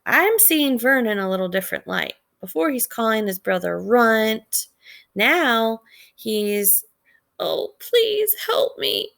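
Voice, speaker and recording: Female teacher, unhurried delivery with some creak, reading a story and explaining it with questions. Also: a little high, a bit bright. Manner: measured, explanatory, pausing to question listeners. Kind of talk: read-aloud lesson with commentary and questions.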